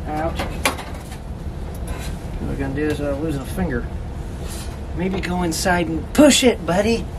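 Higher-voiced man, folksy and joking, distant mic. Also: a little slow. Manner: folksy, joking, self-deprecating, slangy. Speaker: host of a DIY outdoors build vlog. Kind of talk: casual talking to camera while working. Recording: distant mic with clear background noise.

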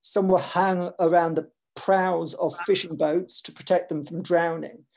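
An older man talking on a video call from his home, an animated and digressive speaker with many restarts in a reflective literary conversation. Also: sits high, a little slow, gravelly. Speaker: author in panel conversation. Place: home room with shelves.

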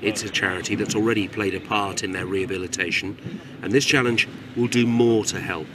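A man delivers scripted news narration in a measured, even tone. Boat engines and lapping water sit clearly behind his voice. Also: lowish voice, background noise. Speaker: news reporter.

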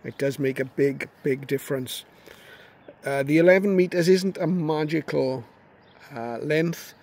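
A man's voice: fairly high pitch, measured pace, a little mellow. A man casually demonstrating a radio antenna outdoors in a garden, recorded on a phone, thinking aloud with fillers and restarts. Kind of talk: casual hands-on demo narration. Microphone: phone held near the radio. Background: radio static and station audio.

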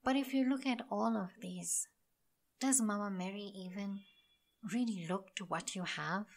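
A woman's voice: medium pitch, a little slow. A woman hosting a devotional podcast, talking in an earnest, reflective way with frequent fillers and restarts. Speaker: podcast host.

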